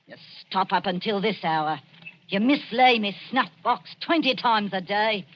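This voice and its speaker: A woman playing a sharp-tongued, grumbling old crone in a 1940s radio drama. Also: mid-range voice, lively intonation, unhurried, lightly acted. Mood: scolding. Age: older. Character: scolding old crone, mother of a body-snatching household. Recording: noisy, muffled 1940s radio recording.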